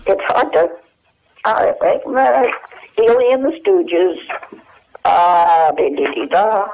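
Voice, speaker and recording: A woman's voice: medium pitch, unhurried, a little hiss or hum. Woman in her eighties on a phone line. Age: 80s. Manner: hesitant, searching memory, many fillers. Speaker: guest. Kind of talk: phone interview. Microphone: telephone. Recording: muffled telephone line.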